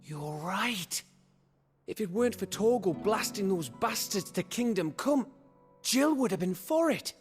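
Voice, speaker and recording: An actor playing a brash, excitable comrade in a fantasy game, colloquial and exclamatory. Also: male voice, high-pitched, unhurried, soft background music. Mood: impressed. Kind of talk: video game drama acting.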